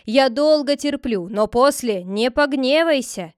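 A woman voicing a sharp-tongued village woman who scolds and threatens. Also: sits high, animated, unhurried, no echo, clean studio sound. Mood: furious scolding.

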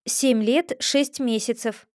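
A woman's voice: on the high side, fairly even intonation, takes it slowly, darkish tone, no echo, studio quality. A woman voicing a polite, earnest seven-year-old girl who speaks carefully and apologizes. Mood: puzzled.

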